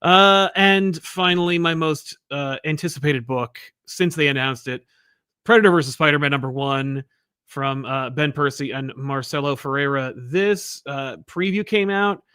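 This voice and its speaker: A man's voice: fairly high pitch, normal speed, sharp tone, clean tone, no echo, studio quality. A young man co-hosting a comic book review show, chatting casually with enthusiasm and frequent laughs. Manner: enthusiastic, laughs often, many fillers. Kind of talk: casual podcast chat.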